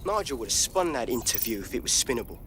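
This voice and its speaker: Street hacker, slangy, bright voice. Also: male voice, mid-range voice, sing-song, fast, slight background noise. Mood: blunt. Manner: blunt slangy talk. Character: streetwise male London hacker leader.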